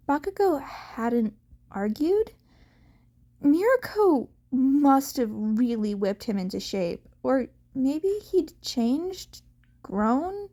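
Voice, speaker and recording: A woman reading fan fiction aloud as an amateur audiobook narrator, halting now and then to correct herself. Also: fairly high pitch, dark tone. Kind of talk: scripted narration of a story.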